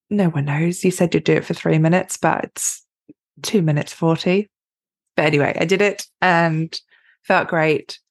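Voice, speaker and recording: A woman hosting her own podcast, a life coach giving a chatty, upbeat solo pep talk with a slightly husky, raspy voice. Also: on the low side, dry recording, clean studio sound.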